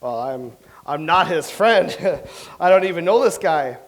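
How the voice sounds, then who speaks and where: A man plays a bitter old-time playwright in a stage comedy, hungry for credit and quick to quarrel. His voice is a little high for a man. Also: animated, poor audio. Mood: combative. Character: grumpy, bitter English playwright from centuries past, envious and combative. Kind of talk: staged play reading, comedic.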